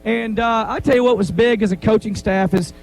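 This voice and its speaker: Coach guy talking after the game out on the field, pretty high voice, faint hiss. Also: fairly even intonation, fairly quick, darkish tone, rough recording. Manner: plain-spoken, rambling, repeats himself. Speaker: head football coach. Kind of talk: postgame interview.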